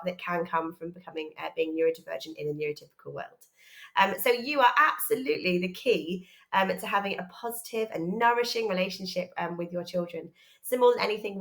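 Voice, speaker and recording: Lowish-voiced woman introducing a course. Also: fairly bright tone. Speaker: educational psychologist and course presenter.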